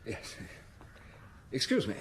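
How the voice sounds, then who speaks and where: A man's voice: slow pace, a little hiss or hum, lightly acted. A man playing a flippant, profligate young aristocrat in a radio drama, with a breezy, sardonic upper-class drawl. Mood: uneasy, subdued. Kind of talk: British radio drama acting.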